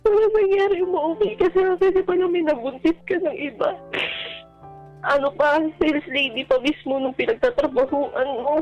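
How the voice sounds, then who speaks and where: High-pitched woman calling a radio show. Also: normal speed. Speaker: caller to a radio advice show. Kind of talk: personal storytelling in a radio call. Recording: dull lo-fi phone-in line with music. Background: background music.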